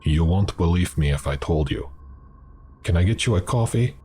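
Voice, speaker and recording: A deep-voiced Russian man acted out by a male narrator, excitable and persuasive, with a thick accent. He speaks as a storybook recruiter urging a stranger along, over clear background noise. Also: moderate pace. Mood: urgent, persuasive.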